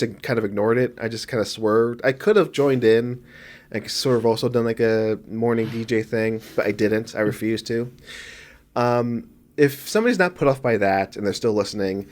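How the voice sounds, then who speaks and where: A man's voice: lowish voice, darkish tone. Sniffly, rambling male podcast co-host. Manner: rambling, self-correcting, jokey. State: sniffly from allergies. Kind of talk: casual film podcast chat.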